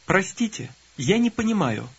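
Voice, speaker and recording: Husky man narrating a language lesson. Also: high voice. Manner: calm prompting, gives cues then pauses. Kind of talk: scripted instructional narration. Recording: dull lo-fi tape recording.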